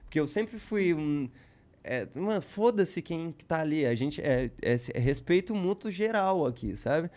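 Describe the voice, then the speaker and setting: A man's voice: fairly high pitch, animated, measured pace, a little mellow. Slangy Brazilian man telling stories. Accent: Brazilian Portuguese. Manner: loose, slangy storytelling with many fillers. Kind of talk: casual podcast chat.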